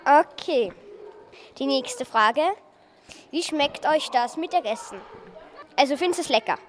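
A woman's voice: sits high, animated, a little slow, slight background noise. A young girl, working through questions as a radio interviewer. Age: child, about 8 to 12.

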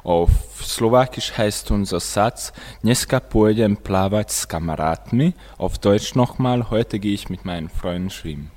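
A man's voice: lowish voice, measured pace, few pauses, darkish tone. A teenage boy, a school student, explaining languages in conversation with a classmate on a radio show. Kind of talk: presenting language comparisons with a classmate.

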